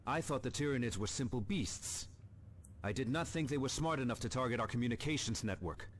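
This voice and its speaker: An actor playing a younger, curious space marine in a video game, earnest and questioning. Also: male voice, mid-range voice, expressive, a little fast, slight background noise, natural voice. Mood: puzzled.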